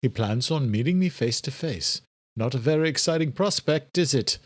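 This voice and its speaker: A man's voice: medium pitch, expressive, normal speed, dry recording, voice pushed up, close to an everyday voice. A man voicing a wry, determined doctor of science in a horror audiobook.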